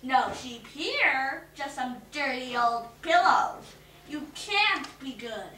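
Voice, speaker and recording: A greedy old witch snaps and shrieks in a gritty, cackling voice, pitched high and drawn out slowly, as a woman reads her aloud. She sounds off-mic in an echoey room with steady noise behind. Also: far from the mic, exaggerated voice. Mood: scolding.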